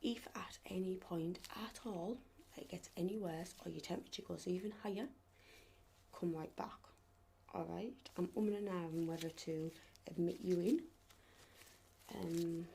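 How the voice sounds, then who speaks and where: A woman speaking softly and reassuringly in an ASMR medical roleplay as a clinician, often dropping to a whisper. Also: fairly low pitch, steady tone, unhurried, vocal fry, long pauses, slight background noise. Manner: gentle, reassuring, often checking with "okay?".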